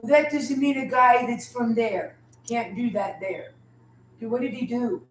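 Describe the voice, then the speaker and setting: A woman's voice: on the high side, measured pace. A woman chatting casually on a stream in a rambling way, full of fillers and restarts. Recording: echoey room from a distant mic.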